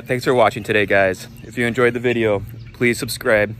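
A man's voice: medium pitch, a little fast, slight background noise. Chatty man filming himself on a phone. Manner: relaxed, chatty, filler-laden. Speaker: vlogger. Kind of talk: casual vlog narration. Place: inside a wooden barn shed. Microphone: phone held at arm's length.

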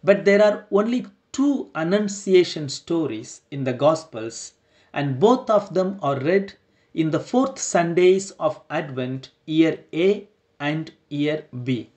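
Slow, deliberate priest preaching. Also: male voice, a little high, animated, darkish tone. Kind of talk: sermon.

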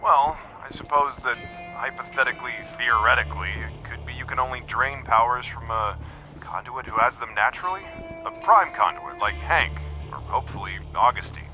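A man's voice: mid-range voice, moderate pace, natural voice, low-quality recording. An actor playing a cautious, exasperated lawman in a naturalistic video game style, admonishing and wary. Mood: reluctant, explaining. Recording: music behind the voice.

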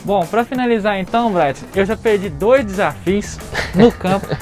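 A man's voice: high-pitched, normal speed, darkish tone, faint music behind. A man hosting a sports interview on an outdoor sand court, asking direct questions in a friendly, joking way. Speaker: TV sports reporter, host. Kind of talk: casual interview.

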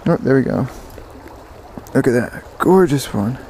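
A man giving excited, casual fishing commentary, repeating words in bursts, speaking outdoors into a body-worn action camera. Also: mid-range voice, unhurried. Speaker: fishing vlogger. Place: outdoors beside a stream. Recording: outdoor water noise behind voice.

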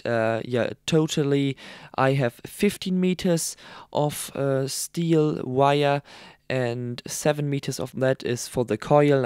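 A man with a German accent, a native German speaker, presenting a how-to narration with halting, hesitant non-native phrasing. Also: mid-range voice, a little mellow, clear, resonant tone, no room sound, studio quality. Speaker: tutorial presenter. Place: voice-over, dry close recording.

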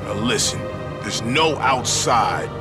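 Stern prison guard, loud music. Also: male voice, medium pitch, lively intonation, slow pace. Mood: barking orders. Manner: commanding, drilling out rules. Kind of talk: cinematic video game acting.

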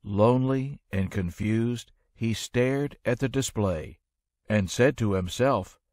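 A man narrating a rhyming children's story in an expressive, measured storytelling manner. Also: mid-range voice, dry recording, clean studio sound.

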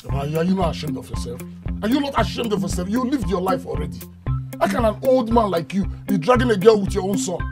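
An actor playing an aggrieved young adult son with a Nigerian accent, scolding insistently in a domestic comedy-drama. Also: male voice, high-pitched, very animated, fast, over music. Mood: aggrieved, warning. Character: aggrieved, confrontational young adult son challenging his father.

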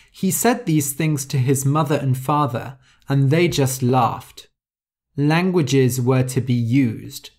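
A podcast host reads an easy story for learners at a very unhurried pace, leaving room after each phrase. The voice sits at an ordinary middle pitch and stays clear and careful. New words get explained, and some are spelled out letter by letter. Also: darkish tone. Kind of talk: slow, clear story reading for English learners.

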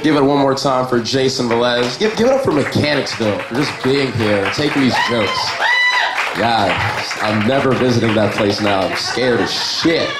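A male emcee with a mid-range voice bantering loosely between acts. He sounds a little off-mic in an echoey bar, with a loud crowd and heavy noise around him. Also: medium pitch. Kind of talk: introducing comics. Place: bar open mic stage.

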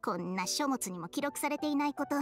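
High, haughty snake spirit. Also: female voice, expressive, fairly quick. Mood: evasive. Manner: brusque, smug, scolding. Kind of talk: Japanese anime game voice acting.

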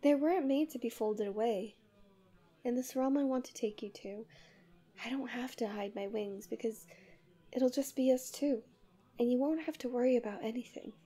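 A woman playing a tender, possessive guardian angel in love with a human, in an intimate ASMR roleplay with soft, soothing, often breathy speech. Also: fairly high pitch, normal speed, lightly acted. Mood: gentle, coaxing.

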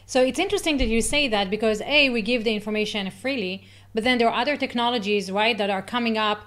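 A woman's voice: fairly high pitch, fairly bright tone. A woman in her thirties whose first language is not English, hosting a live interview over a video call, asking questions conversationally. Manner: conversational, quick asides to viewers. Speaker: host. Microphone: video call webcam.

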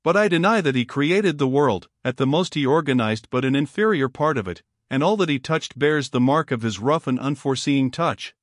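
A man reading the part of a haughty, learned male fallen angel in an audiobook, calm and impassive, in a dry, close sound. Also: mid-range voice, moderate pace, few pauses, no room sound, close to an everyday voice.

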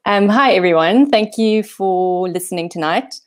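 A woman's voice: medium pitch, normal speed. A woman in her thirties from South Africa, with a South African accent, giving a casual, self-deprecating talk over a video call. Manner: self-deprecating, chatty, many fillers. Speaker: guest speaker. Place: home room on holiday.

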